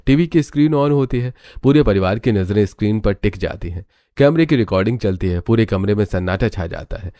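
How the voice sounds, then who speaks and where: A man's voice: lowish voice, a little mellow, no echo. A man reading scripted, dramatic narration for a cartoon story.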